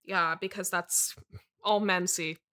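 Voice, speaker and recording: Bright, gushing manic pixie dream girl played by a woman. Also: fairly low pitch, steady tone, takes it slowly, smooth. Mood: earnest, flattering. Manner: gushing, self-consciously whimsical, dramatic. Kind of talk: improvised mock-interview comedy.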